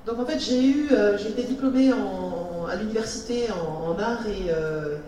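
A woman's voice: mid-range voice, moderate pace, warm tone, a little hiss or hum. Hesitant woman interpreter, echoey hall. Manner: hesitant, many fillers and restarts. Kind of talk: live consecutive interpretation. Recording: echoey hall, far from the mic.

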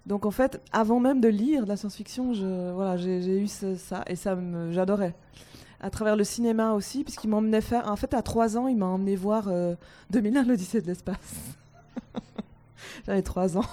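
A woman on a panel telling a story from her childhood in a lively way, repeating words as she goes. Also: mid-range voice, normal speed. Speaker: panel guest. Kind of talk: personal anecdote.

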